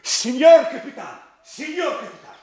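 An actor playing a gruff, bullying police sergeant with a husky voice in a 1960s Soviet radio drama. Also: male voice, very high voice, expressive, normal speed, darkish tone. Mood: eager.

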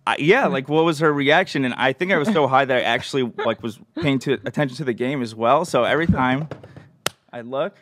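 A man's voice: mid-range voice, fairly quick, a bit bright. A young man casually telling a self-deprecating personal story on a podcast, in a sheepish way. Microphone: close studio podcast microphone.

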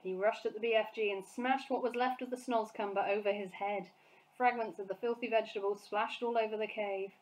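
A woman teacher reads a children's novel aloud in a clear, expressive way. Her voice sits at an average pitch for a woman, and she paces the narration for young listeners. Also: a little fast, low-quality recording. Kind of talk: storybook read-aloud for children.

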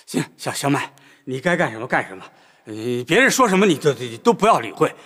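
A flustered middle-aged father. His husky voice stammers and halts, while his pitch swings widely in a family TV drama. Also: sing-song, a bit bright, full character voice. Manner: stammering, halting. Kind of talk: TV drama acting.

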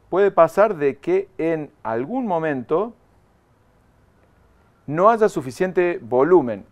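A man's voice: on the high side, darkish tone. Man explaining data to viewers. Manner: explanatory, repeats key points, talks to viewers. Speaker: trainer. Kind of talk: live online training. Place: studio in front of a graphic backdrop.

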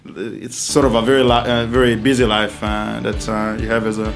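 A middle-aged man hosting a studio interview, with hesitant, halting phrasing. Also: on the low side, level tone, creaky, music in the background. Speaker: interview host. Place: small studio, seated at a desk.

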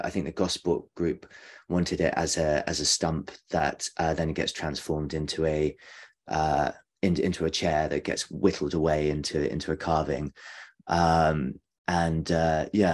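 A man in his forties reflecting hesitantly as a panelist on a video call from his kitchen. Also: rumbling low. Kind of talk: reflective discussion. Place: kitchen at home, indoors. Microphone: video call, device at desk distance.

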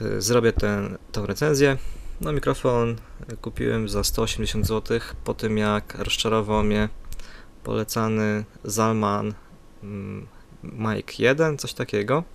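A man's voice: on the low side, vocal fry. A man giving a casual spoken product review in a hesitant, plain-spoken, improvised way into a USB desktop microphone. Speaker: amateur gadget reviewer. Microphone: USB condenser desktop microphone.

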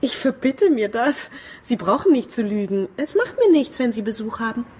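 A woman's voice: a little high, very animated, moderate pace, darkish tone, breathy edge. An actress playing a fragile, obsessive, eager-to-please woman in a radio play, with an edgy, insistent politeness. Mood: prickly, nervous.

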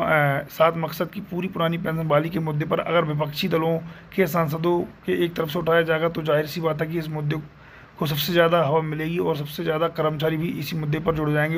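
A man's voice: fairly high pitch, slight background noise. A man narrating a scripted news video, reading aloud and now and then stumbling over words.

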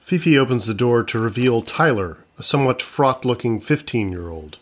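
A man narrating a scripted comedy in a measured, matter-of-fact way. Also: medium pitch, expressive.

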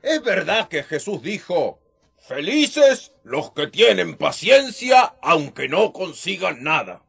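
An actor playing a teasing, earnest older disciple in a colloquial Latin American radio drama, with a gravelly, husky voice. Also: male voice, sits high, slow pace, exaggerated voice. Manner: teasing, chuckling, animated.

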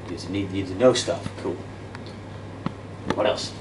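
A man's voice: deep voice, normal speed. A man in his thirties whose first language is Dutch, giving a casual, self-deprecating debate workshop lecture with lots of restarts and fillers while he walks and gestures in a classroom. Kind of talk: interactive lecture. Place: classroom with projector screen, indoors. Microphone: far camera. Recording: echoey classroom from far off, noisy.

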